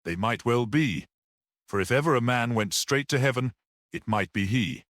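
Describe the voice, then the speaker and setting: A man voicing a gentle, shrewd priest detective in a story, speaking simply and earnestly with quiet certainty. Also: mid-range voice, normal speed, no echo, clean studio sound, natural voice.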